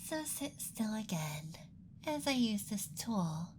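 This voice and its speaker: A man voicing a gentle, curious alien examiner in a whispered ASMR roleplay, clinical yet coddling and playfully condescending. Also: high voice, big pitch swings, slow, background noise, exaggerated voice. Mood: soft, clinical, coddling.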